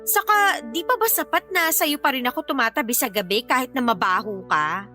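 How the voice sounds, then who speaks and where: A Filipina actress playing a sharp-tongued, irritable young live-in girlfriend in an audio drama, scolding and dismissive. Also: sits high, expressive, brisk, faint music behind. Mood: indignant, scolding. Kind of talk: Filipino radio-style drama acting.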